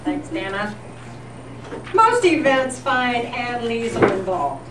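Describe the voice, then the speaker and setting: A woman's voice: fairly high pitch, very animated, takes it slowly. A woman hosting a live show, reading scripted announcements in the style of an old-time radio newscast. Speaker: host of a live radio-themed tribute show. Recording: distant echoey live event, noisy.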